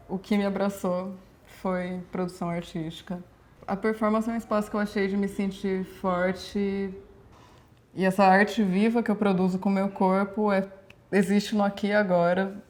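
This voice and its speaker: A woman in her twenties from Brazil, a performance artist speaking reflectively in a documentary interview, with measured, slow pauses. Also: mid-range voice, a little mellow. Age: 20s.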